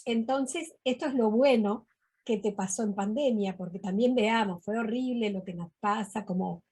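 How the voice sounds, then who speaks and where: Woman interviewer, Rioplatense accent, husky voice, mid pitch, video call. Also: normal speed, a little mellow. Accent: Rioplatense Spanish. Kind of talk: casual interview.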